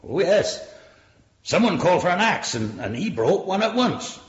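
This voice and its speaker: A man's voice: high-pitched, sing-song, normal speed. An actor playing a plain-spoken male village pub landlord as a witness in a radio drama. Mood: straightforward. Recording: roomy, slightly muffled radio drama.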